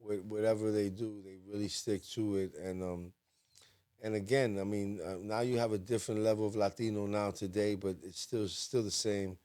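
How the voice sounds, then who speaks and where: A man's voice: on the low side, moderate pace, smooth. A man co-hosting a podcast in a studio, talking casually and getting emphatic when he makes a point. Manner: emphatic, gets animated when making a point. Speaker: podcast co-host.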